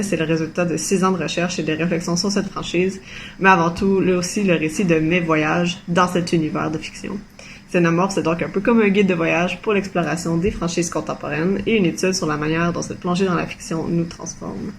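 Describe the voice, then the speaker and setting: A woman presenting her essay in a measured, articulate prepared statement. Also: fairly low pitch, steady tone, vocal fry, a little hiss or hum.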